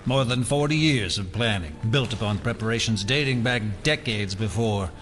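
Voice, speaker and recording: Impatient, sharp-voiced conspiracy elder. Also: male voice, fairly low pitch, lively intonation, moderate pace, faint music behind. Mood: bitter. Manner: formal, complaining. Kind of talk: audio drama acting.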